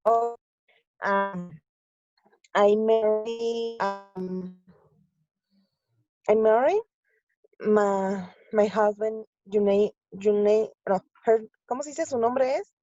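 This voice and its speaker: A woman in her twenties from Mexico, a native Spanish speaker, introducing herself in halting beginner English on a video call. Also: mid-range voice, fairly even intonation, dark tone, no room sound, muffled recording, faint music behind. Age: 20s. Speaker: language exchange student. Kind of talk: casual video call chat.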